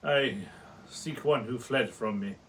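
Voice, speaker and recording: A man's voice: medium pitch, takes it slowly, a bit bright, a little hiss or hum. A man acting a haunted, formal scientist telling his tragic tale in a radio drama. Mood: weary, faint. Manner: formal, brooding, theatrical.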